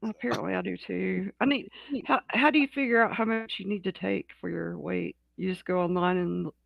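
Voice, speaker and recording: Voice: medium pitch, fairly even intonation, brisk, vocal fry, highs cut off. A co-host in a casual talk show, speaking frankly in a rambling way with many restarts. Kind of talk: casual chat.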